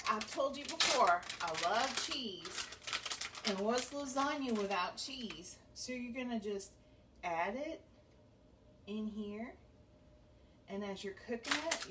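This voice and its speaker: Slow-talking homey woman cooking. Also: mid-range voice. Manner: chatty and homey, talks while stirring pots. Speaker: cooking show host. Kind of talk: home cooking demonstration. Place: home kitchen. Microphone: camera a few feet away. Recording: slightly distant camera mic, faint room noise.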